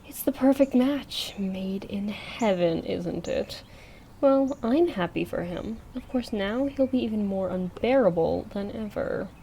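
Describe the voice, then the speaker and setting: A woman's voice: medium pitch, a little slow, dark tone, a little hiss or hum, lightly acted. A woman acting a hurt, hesitant young woman monster hunter in an audio drama. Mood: bitter.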